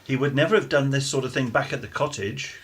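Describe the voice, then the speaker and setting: A man's voice: medium pitch, fast talker, husky, lightly acted. A man acting in a radio drama as a bitter, argumentative working-class husband and father who distrusts everyone. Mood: disapproving. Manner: blunt, argumentative, mild swearing.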